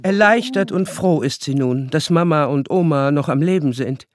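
A woman's voice: deep voice, expressive, normal speed, no room sound. A woman narrating a radio play in a dramatic, scripted style. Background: music.

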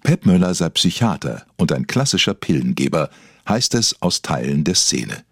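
Deep, husky man acting a documentary narrator. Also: very animated, normal speed, dry recording, studio quality, full character voice. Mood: solemn, dramatic. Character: male documentary narrator, solemn, mock-investigative.

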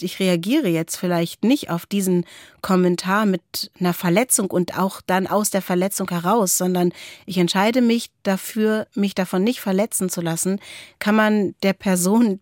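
A woman's voice: medium pitch, a little mellow, no echo, studio quality. A woman hosting a podcast in a reflective, conversational way. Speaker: podcast host.

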